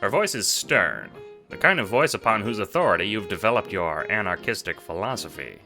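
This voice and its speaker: Deep forceful noir narrator, man. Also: big pitch swings, soft background music. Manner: dramatic, measured noir storytelling. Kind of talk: second-person noir narration.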